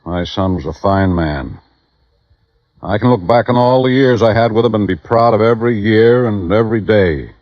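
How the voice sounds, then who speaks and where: Old, proud, dying father for a radio play male actor, blunt and deliberate, low register, dry dated sound. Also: no room sound, natural voice. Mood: grave, proud. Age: older. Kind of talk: 1950s radio drama acting. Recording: muffled vintage radio recording, dry.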